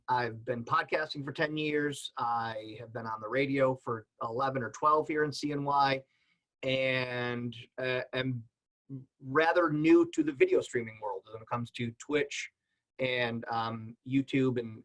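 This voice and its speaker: Mid-pitched man, casual broadcaster. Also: fairly bright tone, poor audio. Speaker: broadcaster and podcaster, panelist. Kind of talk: casual self-introduction. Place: video call.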